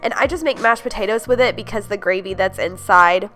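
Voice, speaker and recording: A young woman talks through her weekly dinners, her voice thick and nasal from a stuffed-up nose. She is chatty and enthusiastic, peppering her lines with "like" and "you guys", at an everyday mid pitch. Faint music plays quietly behind her. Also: a little fast. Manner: upbeat, gushing, many "like"s and "you guys". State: congested, stuffed-up voice. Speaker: home cooking vlogger. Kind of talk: casual chatty recipe voice-over.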